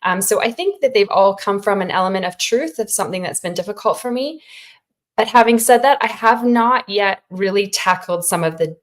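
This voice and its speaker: A woman's voice: medium pitch, moderate pace. A middle-aged woman, an author, giving thoughtful, encouraging answers to questions in a conversational way. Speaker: children's author, interview guest.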